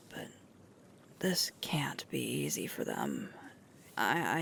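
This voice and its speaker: A woman playing a sweet, tired alpha werewolf girlfriend in an intimate roleplay ASMR, murmuring softly and breathily close to the microphone. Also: sits low, a little hiss or hum, exaggerated voice. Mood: concerned, gentle. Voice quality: breathy, creaky, often whispering. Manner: slow, soft, unhurried intimate murmur with pauses.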